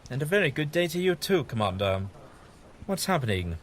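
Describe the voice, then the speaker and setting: A man's voice: on the high side, sing-song, fairly quick. A man playing a whimsical, kindly, evasive time-travelling adventurer in an audio drama, with fussy and hesitant politeness. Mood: brisk, curious.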